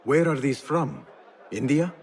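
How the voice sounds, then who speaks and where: An actor playing a guarded, earnest young former thief turned assassin in a video game, understated and terse. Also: male voice, mid-range voice, animated, unhurried, a little mellow, natural voice. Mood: measured, probing.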